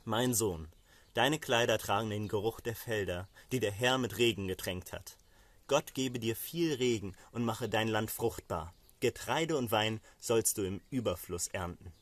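A man's voice: fairly low pitch, measured pace, no room sound. A man voicing an old father in a Bible story, a solemn patriarch who speaks in formal pronouncements.

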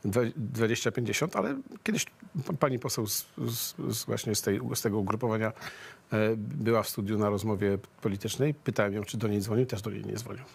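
Joking middle-aged man, husky voice. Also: on the low side, fairly quick. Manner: jokey, animated, acts out imagined dialogue. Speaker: co-host of a satirical politics show. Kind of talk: joking studio commentary. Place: TV studio. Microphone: lapel.

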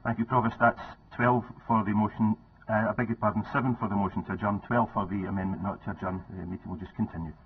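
Man announcing a council vote, quick and low, tinny audio. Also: steady tone, husky. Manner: brisk, with small restarts. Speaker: council official running a vote. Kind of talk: formal procedural announcement. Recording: tinny phone-like sound, faint noise.

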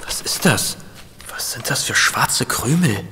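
An actor playing a detective's nervous, squeamish sidekick in a radio play, stammering and hesitant. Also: male voice, mid-range voice, lively intonation, unhurried, sharp tone. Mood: jittery. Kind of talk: German radio play acting. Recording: reverberant room, music behind.

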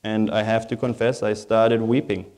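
A man's voice: on the low side, little pitch movement, moderate pace, a little mellow. German-accented male pastor preaching. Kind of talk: sermon.

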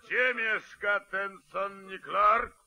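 Brutal high-pitched mob boss, old radio. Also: male voice, steady tone, takes it slowly, gravelly, pushed and bright, heavily acted. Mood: menacing. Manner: barking, threatening commands. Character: brutal, domineering boss of a lynch-mob manhunt. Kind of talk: mid-century Polish radio drama acting. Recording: old 1940s radio recording, crowd.

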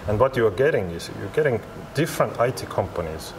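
A low-voiced man answers interview questions as a company representative. His English is non-native, halting and repetitive. Clear ambient noise of a large indoor venue sits behind him. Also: lively intonation. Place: large glass-walled expo hall, indoors.

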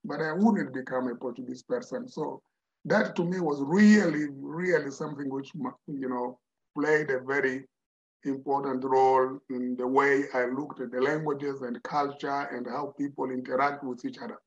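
A man's voice: mid-range voice, fairly even intonation, normal speed. An older man from Mozambique, a Tsonga speaker, telling his story as a podcast guest, repeating words and saying "you know" often. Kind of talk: reflective storytelling in an interview. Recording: dull lo-fi audio.

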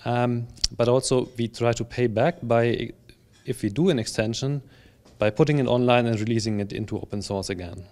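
Calm male interviewee, 30s; even, measured speech. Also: mid-range voice. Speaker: website steward, interviewee. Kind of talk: interview answers. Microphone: handheld interview mic.

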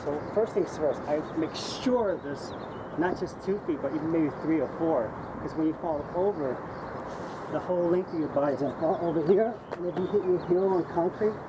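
A young man teaching acro yoga outdoors, coaching his students through each pose in a relaxed, chatty way while basing from the ground. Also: fairly high pitch, moderate pace. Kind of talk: hands-on coaching during practice. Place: outdoors under a shade canopy on mats in a plaza. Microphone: camera mic at a distance. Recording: dull lo-fi camera audio with loud outdoor noise. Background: loud outdoor street ambience, birds and traffic.

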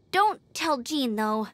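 A woman playing a perky, earnest teenage girl scout in an anime-style video game, expressive, chatty and easily flustered. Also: high voice, dry recording, full character voice. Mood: sympathetic, confiding. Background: background music.

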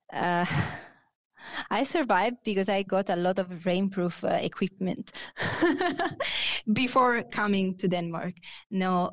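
A woman's voice: medium pitch, dry recording. A woman in her thirties from Naples with an Italian accent, a guest on a video-call interview, talking hesitantly with many fillers and restarts over a headset mic. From Italy, Naples. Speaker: guest, architect. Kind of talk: casual interview. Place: bright office.

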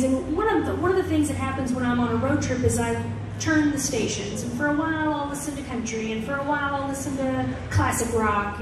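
Young woman singer, high voice, loose friendly stage patter, stand mic, heard from far off with heavy echo and guitar behind. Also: noisy background. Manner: relaxed, rambling, friendly. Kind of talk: chatty stage banter between songs. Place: outdoor fair stage. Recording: echoey live stage sound taped from the crowd. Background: acoustic guitar.

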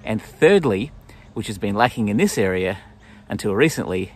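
A man in his forties with an Australian accent and a husky voice, giving a simple explanatory nature talk for children outdoors. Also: on the low side, lively intonation, normal speed. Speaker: pastor presenting a children's story. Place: outdoors in bushland.

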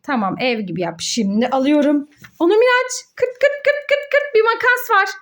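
A woman with a very high-pitched voice. She talks to young children as a mother leading an educational game. Her delivery is sing-song and playfully instructive, full of questions and exclamations. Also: moderate pace. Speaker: mother hosting a children's educational play video. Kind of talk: child-directed play narration with questions and answers.

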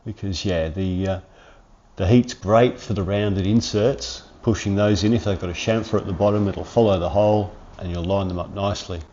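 Low-voiced man with a cold. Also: normal speed, lo-fi sound, slight background noise. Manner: relaxed, chatty, rambling asides. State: losing his voice, sniffly cold. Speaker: workshop channel host. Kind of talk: hands-on tutorial. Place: home garage workshop.

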